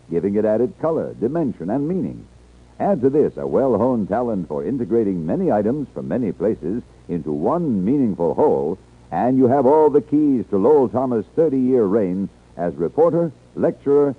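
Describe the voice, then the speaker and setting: Husky, animated American male radio announcer. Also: on the low side. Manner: polished, emphatic, formal. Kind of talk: 1950s radio promotional announcement, scripted. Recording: narrow old radio broadcast recording.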